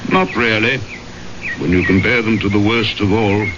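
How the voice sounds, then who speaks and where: An actor playing a big, hearty, placid aristocrat and hunter in a 1970s radio drama, genial and clipped. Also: male voice, on the low side, fairly even intonation, normal speed, close to an everyday voice. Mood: boastful, grave. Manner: genial, clipped upper-class speech. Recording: dull, noisy old radio broadcast.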